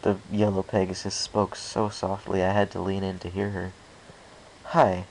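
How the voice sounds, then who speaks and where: A man's voice: low voice, completely flat delivery, moderate pace, creaky, darkish tone, a little hiss or hum, low-quality recording. A man reading a first-person story aloud as scripted narration. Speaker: amateur fan fiction reader.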